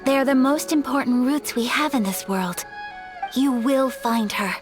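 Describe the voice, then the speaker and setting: A woman voicing a graceful young noblewoman, soft-spoken, polite and earnest. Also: sits high, unhurried, over music. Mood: tender.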